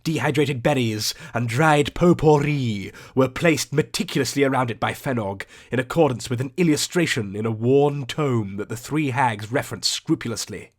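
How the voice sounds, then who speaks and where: A man narrating a fantasy audiobook in a theatrical, deliberate, scripted storytelling style. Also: medium pitch. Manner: theatrical, ornate, deliberate delivery.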